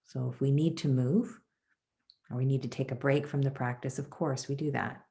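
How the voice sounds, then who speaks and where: Female meditation instructor, very deep register with gravelly fry, soothing and measured delivery for a guided body scan. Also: steady tone, warm tone. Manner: soothing, measured, unhurried pauses between phrases. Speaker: meditation teacher leading a class.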